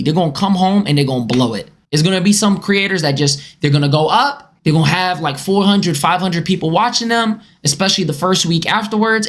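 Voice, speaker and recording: A young man with a high, boyish voice, streaming casually as he reacts to clips, animated and swearing.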